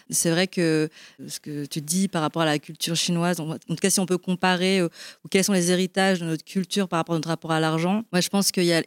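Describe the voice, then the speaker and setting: A woman's voice: fairly low pitch, no echo, studio quality. A woman born and raised in Belgium, speaking casually and thoughtfully on a live panel with frequent fillers.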